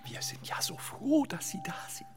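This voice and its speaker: A man playing a nervous, fussy husband who owns a haunted castle, in a children's audio drama, anxious and sometimes hushed. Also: normal speed, in a whisper, soft background music, close to an everyday voice.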